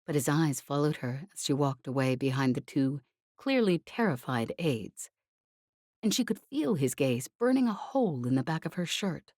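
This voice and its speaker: Woman reading an audiobook, low soft-edged mellow voice, super dry recording. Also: big pitch swings, moderate pace, no echo. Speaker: audiobook narrator. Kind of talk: scripted audiobook narration of a novel.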